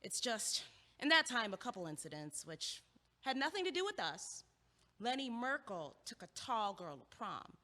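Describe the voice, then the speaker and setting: A woman playing a sharp, guarded, quick-witted young college student in a naturalistic comedic audio play. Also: fairly high pitch, very animated. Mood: wry storytelling. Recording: echoey hallway-like reverb.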